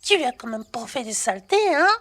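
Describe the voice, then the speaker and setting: A woman plays a brash young working-class girl for a roleplay audio drama. Her voice is very high, and she talks in loose, casual slang. She is cheeky and always ready to tease. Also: big pitch swings, a little fast, a bit bright. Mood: teasing, breezy.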